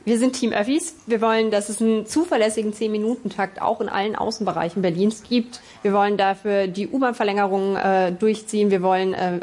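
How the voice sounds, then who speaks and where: A woman giving an energetic debate statement with slogan-like lines. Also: medium pitch. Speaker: politician.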